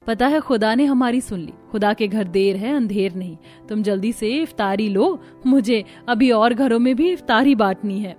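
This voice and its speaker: A woman playing earnest, expressive family women in a cartoon story. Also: a little high, normal speed, hardly any pauses, soft background music, close to an everyday voice. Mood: grateful, earnest. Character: women of cartoon households, earnest mothers, in-laws and neighbours. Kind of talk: Hindi cartoon story acting.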